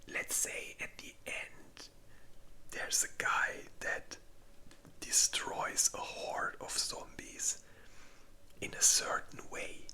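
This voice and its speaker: Slow, fully whispered ASMR voice. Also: sharp tone. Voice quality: breathy, unvoiced whisper. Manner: unhurried, halting, informal delivery. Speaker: ASMR video creator. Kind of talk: whispered ASMR movie ranking.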